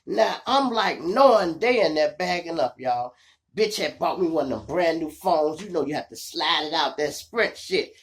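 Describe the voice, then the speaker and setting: A man with a Chicago accent and a gravelly voice, hosting a show and telling street stories in slangy, animated style, acting out the dialogue. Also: fairly high pitch, normal speed. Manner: animated, slangy, addressing listeners as y'all. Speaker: online show host and storyteller. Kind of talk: casual street storytelling with acted-out dialogue.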